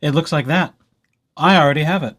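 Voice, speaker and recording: A man presenting a casual software tutorial as a library host, with playful, wry asides. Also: fairly high pitch, a little fast. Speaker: library presenter hosting a digital services tutorial.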